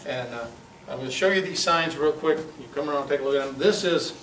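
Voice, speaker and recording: A man giving an informal, halting, jokey show-and-tell talk to a club meeting, in a folksy way. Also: on the high side, a bit bright. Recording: echoey room, distant mic, clear background noise.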